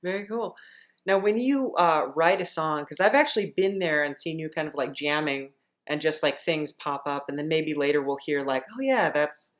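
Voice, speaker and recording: A woman's voice: deep voice, animated, normal speed. A woman hosting a podcast, chatting casually as she interviews a guest.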